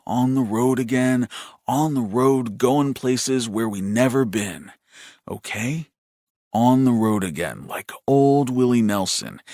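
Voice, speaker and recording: A man's voice: medium pitch, no echo, studio quality. A man voicing a patient, protective older brother in an audiobook, coaxing and reassuring. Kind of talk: audiobook character voice.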